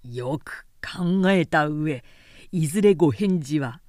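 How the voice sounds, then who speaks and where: A musing warlord at home in a historical tale , as a woman reader plays him. His voice drops low and moves slowly, mellow in temper, in a dry recording. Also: no room sound. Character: fierce warlord as a mellow family man.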